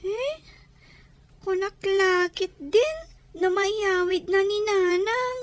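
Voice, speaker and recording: A plaintive little child in a radio drama. The voice is extremely high and a bit slow, full of innocent longing. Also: female voice, no room sound. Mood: worried, longing. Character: plaintive little child missing their mother.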